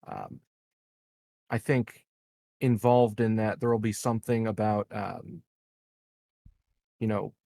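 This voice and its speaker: A man's voice: on the low side, fairly even intonation, takes it slowly, gravelly, long pauses, warm tone, dry recording. A man playing a tabletop game, talking casually and hesitantly as he thinks his choices through aloud.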